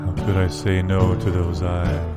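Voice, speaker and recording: A man playing a wistful, lovelorn astronaut in an audio theatre monologue, halting and solemnly poetic, with deadpan seriousness. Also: low-pitched, lightly acted. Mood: solemn, mournful. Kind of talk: slow dramatic monologue over guitar, audio theatre. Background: loud plucked guitar music.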